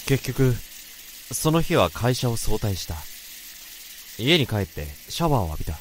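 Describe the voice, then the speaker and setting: An actor playing a troubled male office worker who narrates his own story in a radio drama, in a brooding, halting way. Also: medium pitch, sing-song, background noise, natural voice. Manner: brooding, halting inner monologue. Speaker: narrator and protagonist. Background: music.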